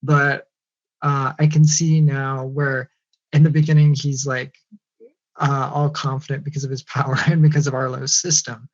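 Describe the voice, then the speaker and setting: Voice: medium pitch, flat delivery, moderate pace. A voice actor talking hesitantly with many ums and giggles, chatting loosely on a podcast. The sound is muffled and dull. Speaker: voice actor guest. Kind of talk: casual podcast chat.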